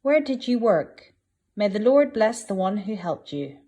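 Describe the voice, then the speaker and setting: A woman's voice: mid-range voice, big pitch swings, a little slow, dark tone, dull audio. A woman playing a caring, protective older mother-in-law in a dramatised Bible reading. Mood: pleased, blessing.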